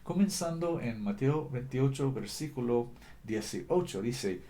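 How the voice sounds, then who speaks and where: A man preaching a sermon in a language that is not his first, earnest and deliberate, often correcting himself mid-sentence. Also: mid-range voice, lively intonation, warm tone. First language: not Spanish.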